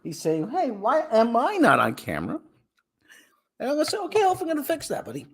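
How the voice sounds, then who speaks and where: A man's voice: fairly quick, slightly rough. A man hosting a casual live-stream podcast, chatting loosely before a reading. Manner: lively, chatty, talks baby talk to his cat in a high voice. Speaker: podcast host.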